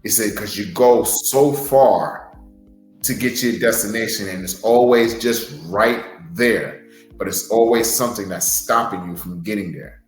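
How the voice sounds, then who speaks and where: A man's voice: fairly low pitch, a little slow, roomy sound, faint music behind, low-quality recording. A man who wrestles, co-hosting a podcast and telling a motivational story in an earnest, heartfelt way. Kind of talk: casual podcast chat.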